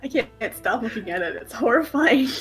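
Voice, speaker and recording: A woman with a husky voice, bantering casually as a guest on a game stream. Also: a little high, lively intonation, fairly quick, faint music behind.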